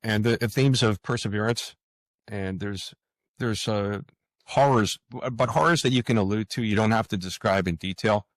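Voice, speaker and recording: An older man speaking as an interview guest author, earnest and halting, often stammering and repeating words. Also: on the low side, no room sound.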